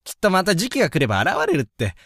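An actor playing a hot-blooded, friendly high-school boy, rough-spoken and easily flustered, in a youth romantic-comedy voice drama. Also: very fast, no room sound, studio quality, exaggerated voice. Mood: reassuring. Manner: rough casual speech, excitable, blustering.

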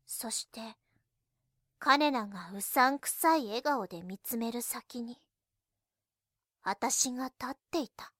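A woman playing an earnest, reserved high school girl who narrates her own story in a youth voice drama. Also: expressive, slow pace, long pauses, sharp tone, dry recording, studio quality, full character voice. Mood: calm, descriptive. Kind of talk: scripted narration in an anime-style voice drama.

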